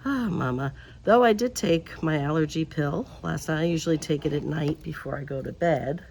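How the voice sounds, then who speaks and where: A woman hosting a crafting video outdoors. She talks in a quiet, subdued way, drifts into mutters and whispers as she works, and her voice is lower and deeper than usual. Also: moderate pace, creaky, slight background noise. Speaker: host of a paper craft channel. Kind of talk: casual crafting chat while working. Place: outdoors, in a breeze.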